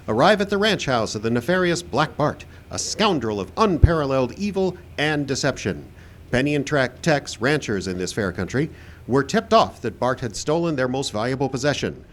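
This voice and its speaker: A man's voice: mid-range voice, background noise. A man announcing a live radio show in scripted old-time radio style.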